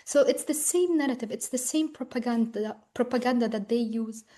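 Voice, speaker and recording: A young woman from Ukraine speaking as a guest, earnest and personal, often filling pauses with "like". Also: fairly high pitch, mellow. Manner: earnest, frequent "like" fillers.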